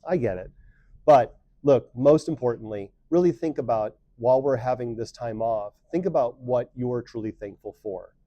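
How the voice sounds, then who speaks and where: A man on the school staff giving students an upbeat, encouraging weekly message, pushing louder on key words. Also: medium pitch, moderate pace, no echo, dull audio. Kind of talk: scripted pep talk to students.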